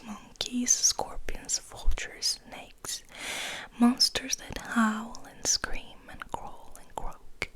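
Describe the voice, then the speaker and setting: A woman reads in a soft, breathy whisper for an ASMR poetry recording. Her delivery is very slow and deliberate, with measured phrases and pauses. The voice sits slightly high. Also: rough recording. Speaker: ASMR host reading poetry.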